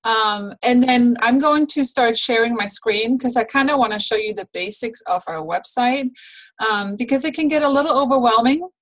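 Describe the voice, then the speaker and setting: A woman's voice: a little high, normal speed, fairly bright tone, low-quality recording. A woman leading a training call for new consultants, friendly and instructive, with frequent "um"s, on a video call. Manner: friendly, instructive, many fillers. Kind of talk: training call with screen share.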